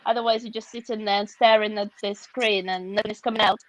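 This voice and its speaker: A woman's voice: mid-range voice, steady tone, quick pace, dry recording, poor audio. Russian-accented woman, candid and laughing. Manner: reflective, laughs often, candid. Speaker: author guest. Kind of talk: video call interview.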